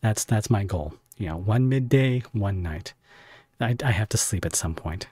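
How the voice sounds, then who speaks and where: A man's voice: on the low side, animated, normal speed, darkish tone, slightly rough, no echo. A man streaming from home, chatting casually with viewers in a rambling, self-interrupting way and laughing often. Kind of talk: casual livestream chat with viewers.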